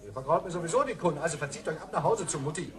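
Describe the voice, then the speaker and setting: A man's voice: a little high, expressive, very fast, lightly acted. An actor playing an irritated, dismissive male shopkeeper in a radio play. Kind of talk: German radio play acting.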